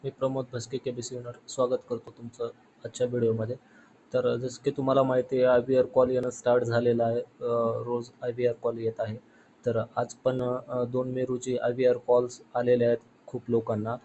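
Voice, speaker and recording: A man presenting an informational vlog, explaining in a halting, repetitive way. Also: mid-range voice, monotone, warm tone, lo-fi sound, slight background noise. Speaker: YouTube host.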